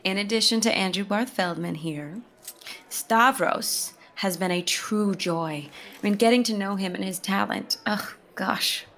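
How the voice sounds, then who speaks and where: An actress playing an earnest young stage director who is chatty and tactful in a scripted comedy. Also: female voice, mid-range voice, measured pace, fairly bright tone. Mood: gushing. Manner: chatty and diplomatic. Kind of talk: scripted audio comedy acting.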